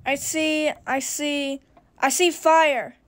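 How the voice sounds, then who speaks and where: A woman's voice: high voice, steady tone, takes it slowly. A woman playing a timid, reluctant radio station worker in a game radio drama. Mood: nervous.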